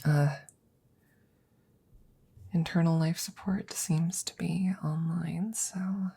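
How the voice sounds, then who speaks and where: A woman performs a tired, caring woman scientist in an intimate audio roleplay. Her voice is dark, husky and slow, often sinking toward a whisper. She speaks casually and hesitantly, with worried reassurance for her best friend. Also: fairly low pitch, steady tone, exaggerated voice. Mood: quiet, focused. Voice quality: breathy, often dropping to a whisper.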